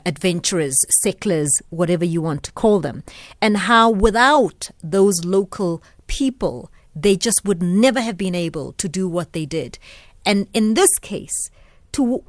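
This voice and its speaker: South african lady hosting radio talk, mid pitch, deliberate phrasing, dry studio mic. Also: no room sound. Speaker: radio host. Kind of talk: radio interview.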